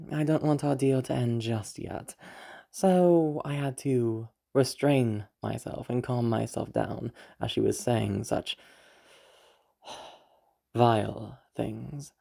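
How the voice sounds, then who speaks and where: Low, husky, sly villainess, acted by a woman. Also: full character voice. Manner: teasing, slow, hesitant pauses with soft hums and sighs. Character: sly, teasing villainous woman, a beast, menacing yet tender. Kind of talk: romantic audio roleplay, intimate monologue to a listener.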